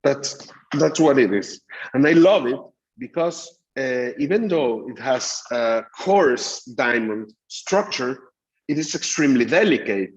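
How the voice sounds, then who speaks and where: Halting middle-aged man teaching a class. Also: mid-range voice, lively intonation, lo-fi sound. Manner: explanatory, halting with frequent fillers. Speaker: dental lecturer. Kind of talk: recorded master class lecture.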